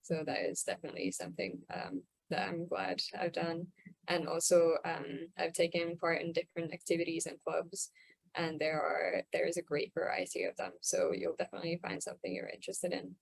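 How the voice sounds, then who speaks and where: A young woman from Finland answers slowly, with many "um"s and short pauses. Her voice is low for a woman and gravelly with fry. The sound is dry and close, with no room echo. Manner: hesitant, frequent "um" and "uh". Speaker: student panelist. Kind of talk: casual panel answer. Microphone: video call.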